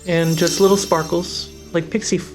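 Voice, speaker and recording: A game master narrating a tabletop fantasy game with deliberate dramatic pauses. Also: mid-range voice, little pitch movement. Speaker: game master and show host. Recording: background music and rain sounds under voice.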